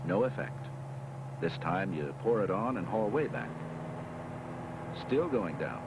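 An American man with a deep voice narrates an instructional film. His delivery is emphatic and his intonation rises and falls in a lively way. The sound is an old 1970s film track with clear noise behind the voice. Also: a little slow.

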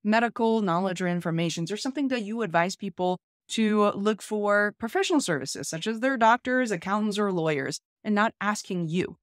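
A woman's voice: mid-range voice, expressive, brisk, few pauses, dry recording, clean studio sound. A woman in her thirties talking casually and chattily to camera, like a tech vlog host. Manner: chatty, informal. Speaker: host, tech content creator. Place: small room at home, indoors.

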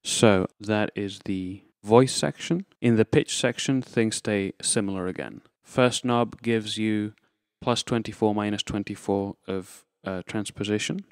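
A man's voice: fairly low pitch, a little slow, few pauses, clean tone. A man giving an informal, unscripted tutorial, explaining things step by step as a presenter. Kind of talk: informal instructional demonstration.

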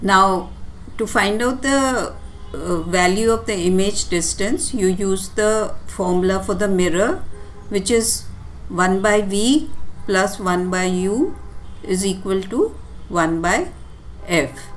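A woman teacher giving a step-by-step tutorial explanation in a deliberate, instructive way with short, pausing phrases. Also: medium pitch, slight background noise. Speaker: physics teacher. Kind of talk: step-by-step tutorial explanation over handwritten working.

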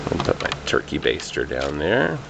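A low-pitched man with a gravelly, fry-heavy voice walks through his basement setup in a relaxed, rambling way. He hesitates often with fillers. The camcorder audio carries a steady hum of fans and air conditioning. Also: moderate pace. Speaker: home winemaker. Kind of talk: casual walkthrough vlog. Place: home basement. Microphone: handheld camera. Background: fan and air conditioning hum.

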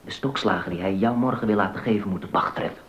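An actor playing a shrewd, knowing confidant in a docudrama, giving advice in a matter-of-fact way. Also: male voice, quick pace, lightly acted. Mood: knowing, urgent. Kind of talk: Dutch docudrama acting. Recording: dull sound with faint rumbling noise.

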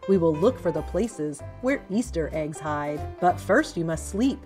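A woman reading picture books aloud in an expressive, deliberate storytelling style for young children. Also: fairly low pitch. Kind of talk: read-aloud picture book narration. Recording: music under the voice. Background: background music.

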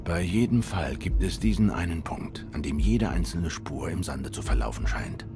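A man voicing a brooding private detective in a noir video game, speaking in a measured inner monologue as if murmuring to himself. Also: sits low, expressive, music in the background. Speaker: detective narrator and protagonist.

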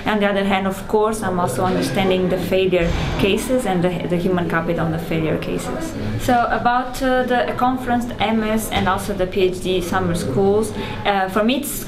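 A young woman pauses often as she talks about her research, filling the gaps with "uh". The chatter of a crowd runs behind her in an indoor hallway. Her pitch sits mid-range for a woman. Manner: hesitant, many fillers. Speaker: PhD student. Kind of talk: interview to camera.

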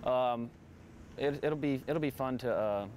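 A man's voice: mid-range voice, a little slow, a little mellow, a little hiss or hum. A man in his thirties, a stadium field manager, answering interview questions casually with many ums and repeated words, recorded outdoors on a lapel mic. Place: outdoors in a large empty stadium.

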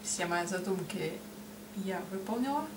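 A woman's voice: on the low side, expressive, measured pace, music in the background. A woman in her thirties hosting a craft vlog from a cluttered home workshop, chatting with viewers in a friendly, casual way. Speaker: woodcarving channel host. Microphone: camera mic at a distance. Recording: roomy, distant, noisy workshop audio.

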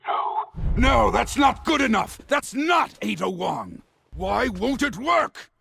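Haughty, scheming government villain, wildly animated. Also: male voice, very high-pitched, takes it slowly, vocal fry, slight background noise, full character voice. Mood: enraged. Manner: pompous, theatrical, menacing. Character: scheming, haughty middle-aged male government security advisor, villain. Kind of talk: video game voice acting.

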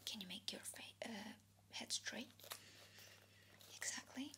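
A woman in her twenties whispering gentle instructions in an ASMR personal-attention role-play, close to the microphone. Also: medium pitch, expressive. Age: 20s. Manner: soft, slow, gentle instructions with pauses. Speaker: ASMR creator, sketch artist role-play. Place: indoor studio with plain dark backdrop.

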